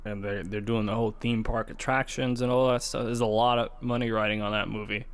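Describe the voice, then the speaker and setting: Male podcast co-host, lowish register, informal gamer conversation. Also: level tone, fairly quick, clean tone. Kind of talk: casual gaming podcast chat.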